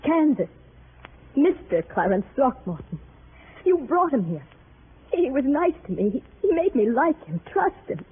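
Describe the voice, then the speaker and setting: An actress playing a proud, bitter young widow in old-time radio melodrama, halting, earnest and dramatic. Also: female voice, high-pitched, very animated, husky, heavily acted. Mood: shaken hurt. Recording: noisy vintage radio, narrow phone-like band.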